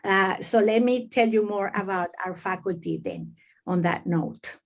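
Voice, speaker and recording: Middle-aged woman from Venezuela, halting. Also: mid-range voice. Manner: hesitant, many fillers and restarts. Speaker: professor presenting to admitted students. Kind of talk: online info session talk.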